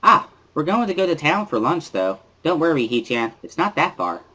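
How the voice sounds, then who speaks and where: Man doing a girlish, playful high-pitched schoolgirl. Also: big pitch swings, moderate pace, pushed and bright, breathy edge, heavily acted, rough recording. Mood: cheerful. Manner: girlishly playful, delivering formal scolding. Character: playful girlish high-school girl, translating for her stern friend.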